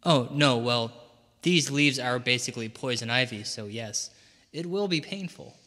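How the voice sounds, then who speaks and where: A man playing a calm, blunt, matter-of-fact paranormal investigator in a comedy audio drama, delivered deadpan. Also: mid-range voice, moderate pace, close to an everyday voice. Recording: long reverb.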